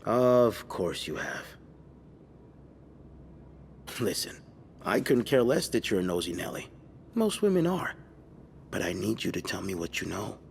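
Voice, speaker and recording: An actor playing a gruff, condescending police detective pressing a witness in a 1950s mystery game. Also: male voice, on the low side, sing-song, normal speed, in a large hall, slight background noise. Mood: condescending, dismissive.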